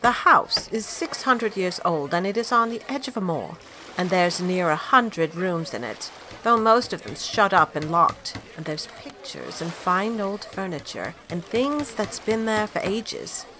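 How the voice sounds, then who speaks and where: Housekeeper, female, middle-aged, bossy and blunt; woman actor, bright lively read, faint hiss. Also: medium pitch, measured pace. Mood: gloomy. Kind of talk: amateur audio drama acting.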